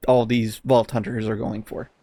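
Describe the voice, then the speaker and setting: A man's voice: mid-range voice, level tone, normal speed, dark tone. A man co-hosting a casual podcast, giving blunt opinions with some swearing and often searching for words. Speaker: podcast co-host.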